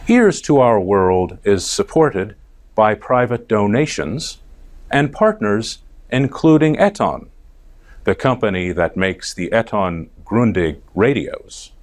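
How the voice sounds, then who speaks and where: American man, low-pitched, very slow scripted delivery, careful enunciation, news presenter. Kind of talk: slow scripted narration for English learners. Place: TV studio.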